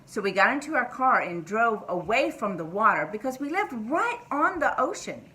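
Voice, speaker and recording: A woman guest telling a personal story to children, in simple words with expressive delivery. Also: a little high, normal speed, rough recording.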